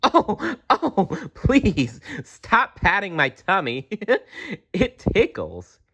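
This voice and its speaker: Man as a storybook teddy bear, playful, giggling, ticklish, lifted bright voice. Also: high-pitched, wildly swooping pitch, extremely slow, pitched above the performer's own voice, exaggerated voice. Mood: giggly, ticklish.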